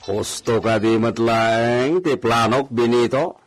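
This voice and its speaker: A man acting a slow, lordly Ilocano hacienda master. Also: mid-range voice, fairly even intonation, soft background music, lightly acted. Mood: sly, amused. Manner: measured, lordly, musing with hums. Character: unhurried, self-important wealthy hacienda master. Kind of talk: Ilocano comedy radio drama acting.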